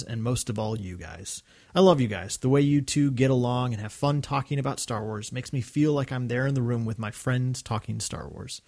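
A man's voice: mid-range voice, a little mellow. A man co-hosting a fan podcast, reading listener mail and chatting casually, laughing easily. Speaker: podcast co-host.

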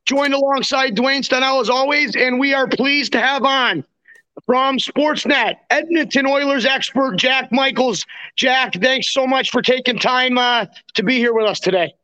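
A man's voice: very high-pitched, measured pace, pushed and bright, muffled recording. A man hosting a hockey podcast, talking casually and in slang like a chummy former player. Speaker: podcast host. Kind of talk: casual sports podcast talk.

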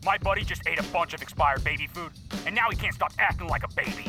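An actor playing an excitable young frat-boy prank caller in an audio drama, slangy and alarmed. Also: on the high side, quick pace, loud music behind the voice, natural voice. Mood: excited.

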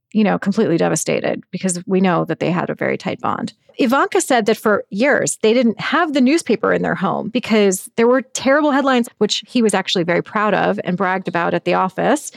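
A woman, a magazine editor, talking in an animated, chatty way as a guest in a news interview. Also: medium pitch, vocal fry, no echo, studio quality. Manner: animated, chatty, frequent "like" and "I mean".

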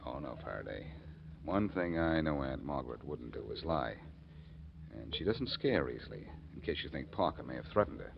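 An actor playing a wisecracking amateur detective in 1940s radio style, with a breezy, flippant manner. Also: male voice, a bass voice, sing-song, moderate pace, creaky, heavily acted. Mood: low, earnest. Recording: muffled, noisy old radio recording.

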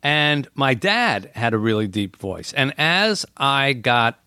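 A man's voice: medium pitch, big pitch swings, slow pace, sharp tone, clear, resonant tone, no room sound, clean studio sound. Earnest, reflective middle-aged man. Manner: earnest, thoughtful, frequent "you know" fillers. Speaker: podcast guest. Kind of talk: reflective casual conversation.

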